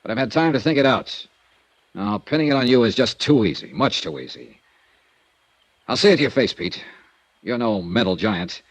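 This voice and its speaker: A man's voice: on the low side, animated, normal speed, pauses often, dry recording. A man playing a hard-boiled male insurance investigator in a 1950s radio drama, with clipped, dry tough-guy delivery, narrating and questioning. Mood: blunt. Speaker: lead actor and narrator.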